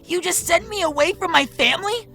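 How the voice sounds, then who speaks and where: A woman voicing a young male alien warrior in an audio drama, indignant and quick to lash out, with a gravelly edge. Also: very high-pitched, pushed and bright, soft background music, full character voice.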